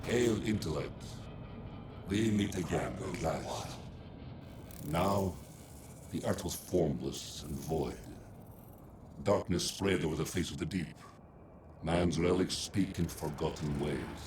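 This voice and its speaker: An actor voicing a solemn, cryptic machine overlord in a video game, speaking portentously and with superiority. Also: male voice, rumbling low, background noise. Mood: solemn, probing. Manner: slow, portentous. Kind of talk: video game cutscene acting.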